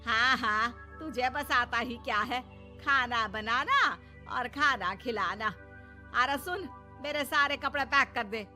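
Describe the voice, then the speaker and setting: Woman playing a nagging, high-voiced older mother-in-law. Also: takes it slowly, pauses often, pushed and bright, soft background music, heavily acted. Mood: scolding. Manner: complaining, scolding.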